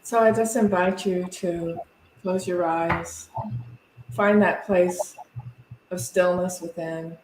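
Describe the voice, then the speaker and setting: A woman minister leading a guided, meditative invocation in gentle, deliberate phrases with pauses. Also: fairly low pitch, steady tone. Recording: echoey room with faint background noise.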